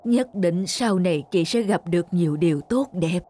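A woman's voice: on the low side, animated, moderate pace, mellow. A woman voicing a humble, grateful old street vendor in a moral-tale cartoon, speaking in a pleading, thankful manner. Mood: humble gratitude. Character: frail, humble, grateful old woman selling lottery tickets.

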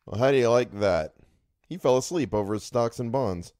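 A man playing a brash, impatient cable car conductor in a radio drama, calling out loudly. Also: mid-range voice, normal speed, lightly acted. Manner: loud, calling out.

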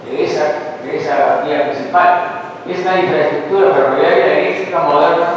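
Older man, conference speaker, measured lecturing, far-off echoey hall sound. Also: on the high side, slight background noise. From Peru. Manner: measured, lists points one by one with rising emphasis. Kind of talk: lecture presentation. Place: wood-panelled auditorium with seated audience. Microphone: far camera, room sound.

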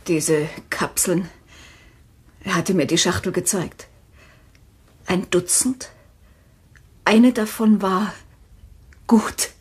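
An actress playing a distraught, stubborn young-widowed woman in a German thriller radio play, husky and breathy. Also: lowish voice, slow pace, long pauses, full character voice. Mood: grieving, subdued.

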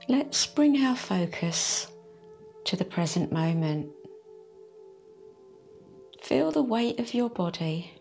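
A woman leading a guided meditation in a soft, soothing, unhurried way. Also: on the low side. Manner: slow, soft and soothing, drawn-out phrases. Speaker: meditation teacher. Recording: faint music behind the voice.

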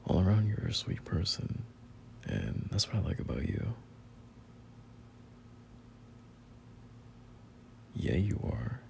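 A young man playing a bashful, teasing friend turned boyfriend in an intimate roleplay audio, speaking in halting, murmured slang. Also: deep voice, creaky, dark tone, a little hiss or hum, exaggerated voice. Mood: tender, teasing.

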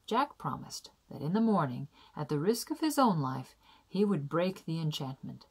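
Husky, low-voiced woman storyteller. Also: big pitch swings, moderate pace. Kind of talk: reading a children's fairy tale aloud. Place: small indoor room.

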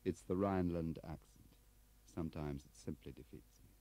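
A man voicing an urbane, controlled British diplomat in an audiobook, quiet, firm and coolly polite. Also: rumbling low, moderate pace. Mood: cool, disdainful.

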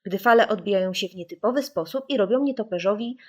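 A woman voicing a calm, caring mother who gently and patiently explains things to children in an audiobook. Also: mid-range voice, quick pace, natural voice. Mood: reassuring.

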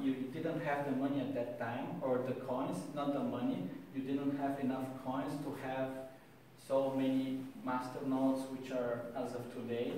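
A young man giving a meetup talk to a small audience, hesitant with many pauses and restarts, recorded by a distant camera in a small room. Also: medium pitch, mellow, slight background noise. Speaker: meetup speaker. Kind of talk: presentation and Q&A. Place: small room with wall art and screen. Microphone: far camera, no mic. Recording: echoey room from far off.

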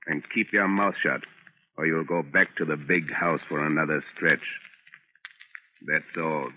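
An actor playing a crooked, calculating lawyer, commanding and menacing, in 1930s radio drama style. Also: male voice, very deep voice, steady tone, a little slow. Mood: threatening. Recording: scratchy, muffled, narrow-band 1930s radio.

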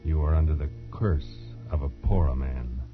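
A man's voice: very deep voice, normal speed. A man announcing an old radio show in dramatic, scripted 1940s narration style. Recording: muffled old radio recording. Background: loud dramatic music.

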